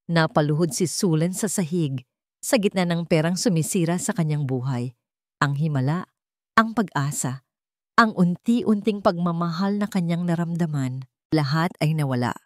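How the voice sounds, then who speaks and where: A woman's voice: sits low, lively intonation, normal speed, no echo, clean studio sound. A woman storyteller giving dramatic scripted narration of a romantic story.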